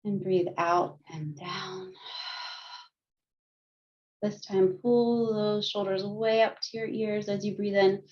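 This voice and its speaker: A woman counselor leading a group through guided mindfulness and gentle yoga, speaking calmly with frequent light laughs, heard over a video call. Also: medium pitch, slow, pauses often. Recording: muffled lo-fi video call audio.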